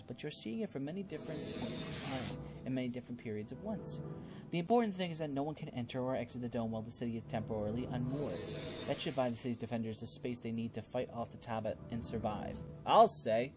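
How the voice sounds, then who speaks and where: A man playing through a role-playing game, reading its text aloud and adding casual, expressive commentary of his own. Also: medium pitch. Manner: expressive, reacting out loud to the game. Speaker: gaming video host playing a role-playing game.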